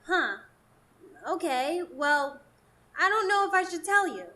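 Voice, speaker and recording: A woman's voice: extremely high pitch, lively intonation, measured pace, pushed and bright, full character voice. A woman playing a flighty, ageless fairy in a radio drama, teasing and childish in manner. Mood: coy, evasive. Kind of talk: live radio drama acting. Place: performance space in front of a live audience.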